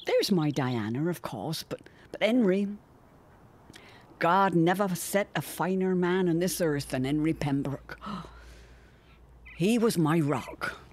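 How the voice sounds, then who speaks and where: A woman's voice: lowish voice, animated, slow pace, darkish tone. A woman playing a grieving, plain-spoken widowed mother with a folksy, effusive manner in an audio drama. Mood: wistful, fretful.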